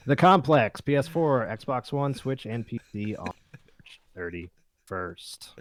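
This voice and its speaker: A man's voice: medium pitch, expressive, slow pace. A man hosting a casual podcast, chatting with the group and reading through a list. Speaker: podcast host.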